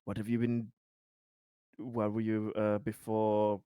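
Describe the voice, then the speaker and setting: A man improvising in-character dialogue as a player in a tabletop game, speaking haltingly in courteous, slightly formal phrasing. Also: lowish voice, completely flat delivery, dark tone, smooth, no room sound, studio quality.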